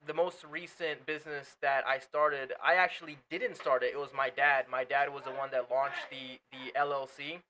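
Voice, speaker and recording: A man's voice: mid-range voice, level tone, moderate pace, hardly any pauses, bright, forceful tone, a little hiss or hum, low-quality recording. A young man from Portugal, a native Portuguese speaker, chatting earnestly as a guest about his business and family, often restarting his words. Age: young adult. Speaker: guest, entrepreneur. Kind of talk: casual interview chat.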